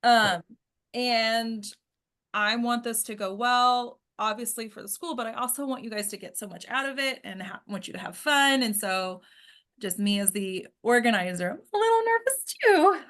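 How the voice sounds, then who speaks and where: A woman's voice: on the high side, expressive, normal speed, a bit bright. A woman hosting a table read, chatting casually and trailing off as she checks who has joined. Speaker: organizer and host of an acting table read. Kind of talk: casual chat while waiting for participants.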